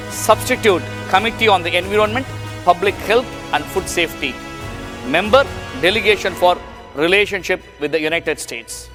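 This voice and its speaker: A man hosting an on-stage talk, formally reading out a guest's titles and asking questions. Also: sits high. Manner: formal, reads titles in a list. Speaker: event chairman and host. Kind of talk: formal introduction and interview questions. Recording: reverberant hall with faint music.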